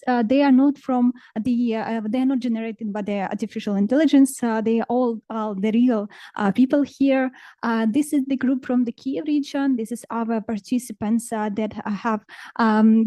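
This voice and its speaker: Woman, conference panelist, halting English, dry close sound. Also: on the high side, warm tone, no echo. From Ukraine. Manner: halting non-native English with frequent pauses. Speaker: panelist, ministry project manager.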